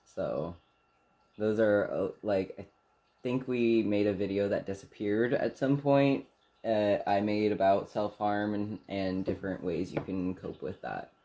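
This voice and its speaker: A soft-spoken young man co-hosting a casual get-to-know-you chat in a small bedroom, laughing often and speaking candidly. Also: on the low side, measured pace. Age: young adult. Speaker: channel co-host.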